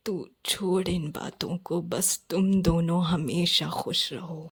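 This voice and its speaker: A woman plays a gentle village mother in a cartoon moral tale. She speaks slowly, with a soft, husky tone, pleading and forgiving with her grown child. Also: fairly low pitch, steady tone, voice pushed down, full character voice. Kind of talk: cartoon moral story acting.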